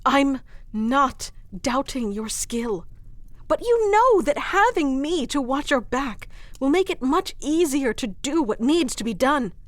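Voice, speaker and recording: A woman's voice: high voice, very animated, takes it slowly, no room sound, heavily acted. A woman playing a proud, wounded fantasy queen in an audio roleplay, speaking with earnest, emotionally charged deliberation. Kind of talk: dramatic audio roleplay acting.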